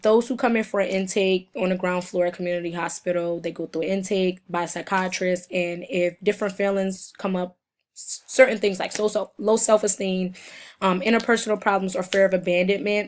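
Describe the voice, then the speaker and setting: A young woman with a cold, hoarse and sniffling, giving a recorded class presentation from her notes, halting in places. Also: fairly low pitch, steady tone. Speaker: student presenter.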